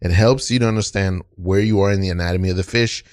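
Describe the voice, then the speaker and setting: A man playing an earnest, self-justifying apprentice puppet builder in an improvised comedy scene, halting as he defends his idealism. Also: low-pitched, steady tone, a little mellow, no room sound, studio quality, natural voice. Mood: insistent, idealistic.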